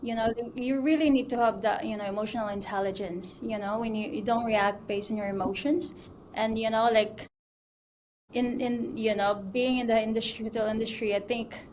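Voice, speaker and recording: A chatty woman in her thirties, from the Philippines, speaks in a fairly high voice and loops through fillers and restarts as she talks. Faint noise hums behind her. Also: steady tone, moderate pace, darkish tone, low-quality recording. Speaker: mortgage broker guest. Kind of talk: casual interview chat.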